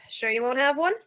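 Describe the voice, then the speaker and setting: A woman playing a tough, suspicious carnival manager, brusque and slangy, in old-time radio noir style. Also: sits high.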